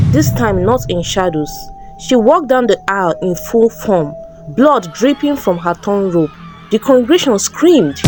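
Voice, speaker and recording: A woman storyteller giving a scripted, dramatic narration of a folktale. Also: medium pitch. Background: background music.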